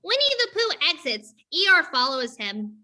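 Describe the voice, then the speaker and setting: A woman's voice: high-pitched, big pitch swings, unhurried, bright, forceful tone. A woman reading out stage directions as scripted narration for a play.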